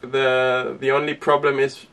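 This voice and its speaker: A young man who grew up speaking Danish, chatting casually to camera inside a small sailboat, relaxed and rambling with lots of fillers. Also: medium pitch, measured pace, recorded from a distance. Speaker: sailing vlogger. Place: inside a small sailboat cabin and cockpit tent.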